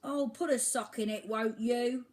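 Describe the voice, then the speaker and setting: A woman voicing a grumpy, creaky-voiced old male albatross in a storybook reading, with a haughty, grumbling manner. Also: fairly high pitch, fairly even intonation, unhurried, darkish tone, pitched above the performer's own voice, close to an everyday voice.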